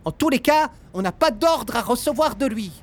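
A woman playing a playful, impatient gust of wind in an audio fiction, with an expressive, theatrical delivery. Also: on the high side, moderate pace. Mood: cheeky. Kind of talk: French audio fiction acting.

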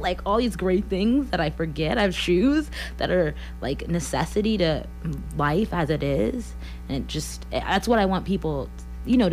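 Earnest young woman singer, low voice. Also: a little mellow, poor audio. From Columbia, Missouri. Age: 20s. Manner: earnest, laughs often, many likes and you-knows. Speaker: singer-songwriter guest. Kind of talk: radio interview.

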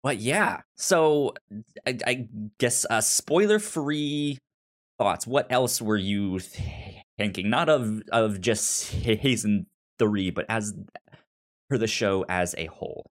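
A man's voice: fairly low pitch, takes it slowly. A man hosting a casual podcast discussion, stammering and often repeating sounds and words as he talks. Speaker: podcast host.

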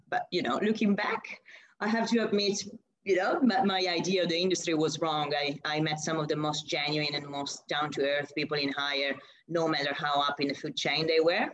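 Low-voiced Italian-accented woman. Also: rough recording. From Italy. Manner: chatty, with frequent fillers and restarts. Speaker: guest, recruitment consultant. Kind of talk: casual interview answers.

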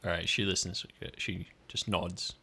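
Male game master, fairly deep but sharp-toned, improvising scene narration for an audio role-play. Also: level tone, a little slow, gravelly, slightly rough.

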